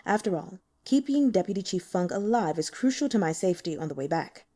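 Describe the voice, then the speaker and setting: A woman voicing a shrewd, dry, sickly male investigator in an audiobook, explaining things in a measured, matter-of-fact way. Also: lowish voice, lively intonation, mellow. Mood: dry, unmoved. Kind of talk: audiobook character dialogue.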